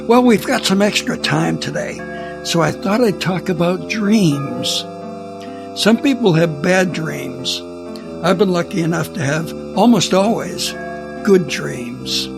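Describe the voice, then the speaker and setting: An elderly man in his seventies shares reflective stories with his listeners. His voice sits high for a man and crackles with fry. Faint music plays behind him. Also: expressive, takes it slowly. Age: 70s. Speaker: producer and director.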